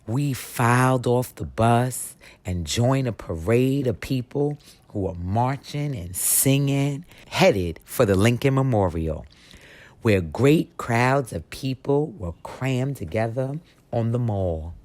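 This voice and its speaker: A woman reading a history book for children aloud as expressive storytelling, with deliberate, emphatic, dramatic phrasing. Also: a bass voice, no echo.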